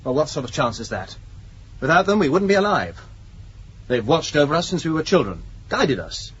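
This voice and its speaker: Earnest young commander, radio play, rapid clipped speech, husky, dull old recording. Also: male voice, a little high, very animated, heavily acted. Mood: firm, anxious. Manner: firm, clipped, authoritative. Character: dutiful, cautious male spaceship commander, earnest and bossy. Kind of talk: 1980s radio drama acting.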